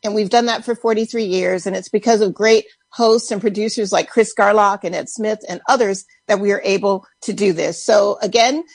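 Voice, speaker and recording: A woman making an unscripted, earnest and measured fundraising appeal on live radio. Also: medium pitch, gravelly, breathy edge, no room sound. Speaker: radio pledge-drive speaker.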